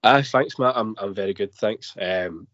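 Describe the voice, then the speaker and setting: A Scottish man with a cold and a slightly croaky voice, chatting as a football podcast panelist, stumbling over repeated words. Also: fairly low pitch, moderate pace, fairly bright tone, dry recording. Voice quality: a bit croaky. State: has a cold. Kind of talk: casual football podcast chat. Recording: dry, dull lo-fi podcast audio.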